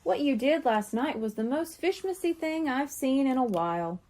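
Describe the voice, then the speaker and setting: A woman voicing a gentle, reassuring mer-cat father with playful humour, speaking patiently in a storybook. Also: high-pitched, animated, moderate pace. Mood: gentle, consoling.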